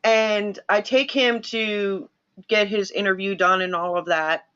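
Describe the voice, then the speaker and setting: A woman's voice: mid-range voice, steady tone, unhurried, pushed and bright, lo-fi sound. A woman from New York hosting a livestream, chatting casually and telling rambling stories to her viewers. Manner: chatty, rambling storyteller who addresses viewers. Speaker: livestream host. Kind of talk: casual chat.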